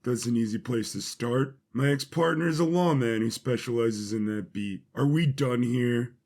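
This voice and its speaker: A man voicing a world-weary, blunt bounty hunter with a deadpan manner in an audio story. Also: mid-range voice, normal speed, lightly acted. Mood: businesslike, dry.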